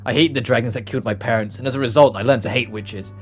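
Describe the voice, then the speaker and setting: A man's voice: medium pitch, steady tone, fast. A man hosting a let's play, reading story dialogue aloud and adding casual commentary. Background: game music.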